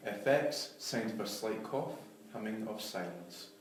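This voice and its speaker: A man's voice: lowish voice, animated, measured pace. A man reading stage directions as scripted narration for a drama. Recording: very echoey, distant, faint noise.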